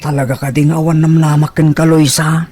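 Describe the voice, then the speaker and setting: A man's voice: on the high side, little pitch movement, brisk, a little mellow, slight background noise, lightly acted. A man with an Ilocano accent playing a polite, pleading village suitor in a radio drama. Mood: hopeful.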